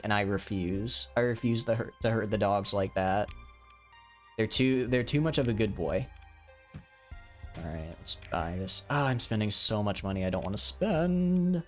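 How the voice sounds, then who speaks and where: A man's voice: on the low side, normal speed, soft background music. A young man in his twenties, live-streaming a game and chatting casually, rambling with lots of restarts and fillers. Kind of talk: casual live-stream chat while gaming.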